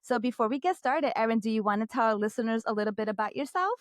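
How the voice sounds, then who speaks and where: A woman's voice: on the high side, expressive, fast, dry recording, studio quality. A woman from the Dominican Republic co-hosting a travel podcast, upbeat and chatty, often saying "like". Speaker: podcast co-host. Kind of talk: casual podcast chat.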